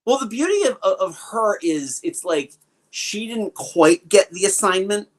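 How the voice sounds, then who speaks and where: A man's voice: sits high, big pitch swings, a little slow. A man co-hosting a podcast, chatting casually about a film and riffing with plenty of fillers and opinions. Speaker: podcast co-host. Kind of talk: casual film review chat.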